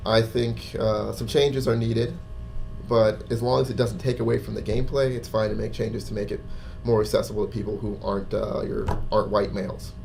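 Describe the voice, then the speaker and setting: Gravelly-voiced man chatting on a podcast. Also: lowish voice, little pitch movement, a little fast, darkish tone. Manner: informal, conversational. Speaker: podcast co-host. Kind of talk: casual panel discussion. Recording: faint room noise.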